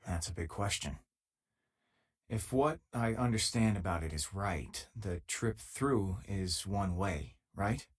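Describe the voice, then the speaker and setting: Husky low-ish guy, wry easygoing space miner sidekick from a video game. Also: slow pace. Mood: earnest, wry. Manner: casual, wry, conversational. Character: easygoing, loyal male space miner companion, wry and tough. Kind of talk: video game companion lines.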